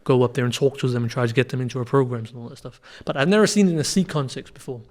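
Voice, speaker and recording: A man's voice: mid-range voice, rapid-fire. Guy in his 30s, punjabi mother tongue, chatting as a guest, lots of ums, voice drops off at the ends. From Denmark. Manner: thoughtful, frequent fillers, trails off softly. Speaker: guest author. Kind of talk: casual conversational interview.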